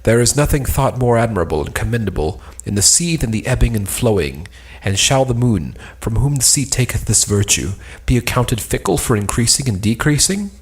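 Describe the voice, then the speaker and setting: A man's voice: mid-range voice, animated, fairly quick, hardly any pauses, natural voice. A man playing a lovesick, melancholy young nobleman in a stage play, speaking archaic lines in a lofty, declamatory way. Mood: yearning, aggrieved.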